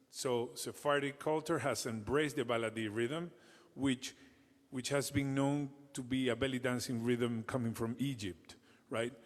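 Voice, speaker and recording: A man from Venezuela teaching a music rhythm to children, in a halting way that restarts words. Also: medium pitch, long reverb tail. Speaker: percussion teacher, guest performer.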